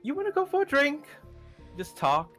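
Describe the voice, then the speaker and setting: A man's voice: very high-pitched, huge pitch swings, moderate pace, a bit bright, full character voice. A man playing an easygoing young confidant in an improvised game roleplay, talking in a casual, rambling, friendly way. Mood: friendly, upbeat. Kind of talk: improvised Minecraft roleplay acting. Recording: music behind the voice.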